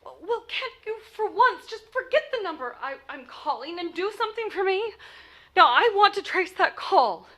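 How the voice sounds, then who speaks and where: A woman's voice: very high-pitched, sing-song, moderate pace, a bit bright, exaggerated voice. An actress playing a frantic, imperious married woman in a 1940s style radio play, stammering and indignant. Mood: exasperated. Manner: stammering, breathless, insistent, indignant.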